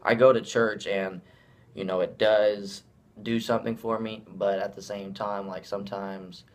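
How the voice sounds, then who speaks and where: A man's voice: on the low side, flat delivery, measured pace. A young man interviewing a fellow student, asking loose, rambling questions and sharing his own thoughts. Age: young adult. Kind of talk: casual interview.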